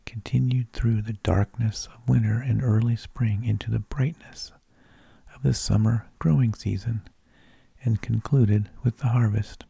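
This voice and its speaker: A man narrating in a soft, breathy ASMR whisper, with slow, deliberate phrasing, close to the microphone. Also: mid-range voice, steady tone, dry recording. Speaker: ASMR host.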